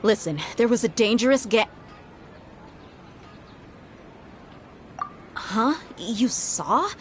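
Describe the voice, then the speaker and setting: An actress playing a tough, loyal desert mercenary bodyguard in a video game, speaking bluntly and casually. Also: female voice, on the high side, expressive, a little slow, slight background noise. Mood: brisk, protective.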